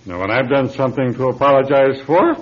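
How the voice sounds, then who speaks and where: A man's voice: mid-range voice, expressive, brisk, close to an everyday voice. Belligerent drunk man, as an actor plays him. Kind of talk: radio drama acting. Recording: dull lo-fi radio recording.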